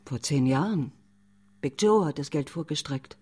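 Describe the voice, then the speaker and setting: A woman's voice: sits low, animated, moderate pace, mellow, husky. An actress playing an older widowed woman who gives frank, matter-of-fact answers in a radio drama. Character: older widowed woman, loyal and plain-spoken. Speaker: witness. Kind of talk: German radio play acting.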